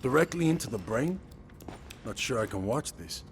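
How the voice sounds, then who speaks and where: An actor playing a gruff but loyal battered soldier in a video game, with a wry, sardonic manner. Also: male voice, medium pitch, lively intonation, moderate pace. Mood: uneasy. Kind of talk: video game cutscene acting. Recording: faint ambient noise behind.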